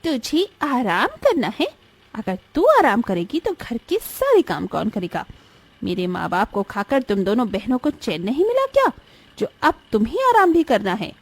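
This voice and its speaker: A woman's voice: fairly high pitch, huge pitch swings, moderate pace, breathy edge, dry recording, exaggerated voice. A woman voicing a jealous, bossy young elder stepsister in a cartoon story, sneering and scolding as she speaks. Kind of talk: cartoon bedtime story voice acting.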